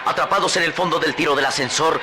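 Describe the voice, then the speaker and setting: A man's voice: on the high side, fast. A man narrating a radio adventure serial in a grave storytelling manner. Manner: grave, storytelling, rising to urgent. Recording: echoey vintage radio drama with loud music.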